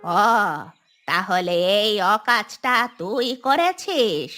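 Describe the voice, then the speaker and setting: Woman voicing a grumpy, stubborn old grandmother, bright and forceful. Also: mid-range voice, big pitch swings, drawn-out words, faint music behind, full character voice. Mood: stern, scolding. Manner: scolding, indignant, stubborn. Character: stern, grumpy old village grandmother guarding her garden. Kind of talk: cartoon voice acting.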